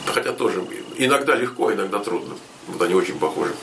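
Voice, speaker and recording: A man hosting a casual interview, briskly prompting his guest with short questions. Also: on the low side, animated, far from the mic, noisy background. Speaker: interview host.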